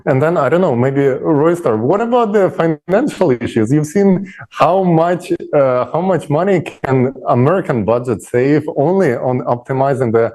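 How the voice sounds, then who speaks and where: Mellow man. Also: on the high side, expressive, normal speed, few pauses, poor audio. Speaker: panel speaker. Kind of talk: conversational explaining on an online discussion.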